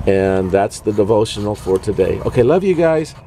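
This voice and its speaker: A man in his fifties, a native English speaker, giving an informal devotional talk to camera in a halting, explanatory way. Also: fairly low pitch, animated, warm tone. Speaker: devotional vlogger. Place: dark greenhouse. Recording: noisy amateur recording with background ambience.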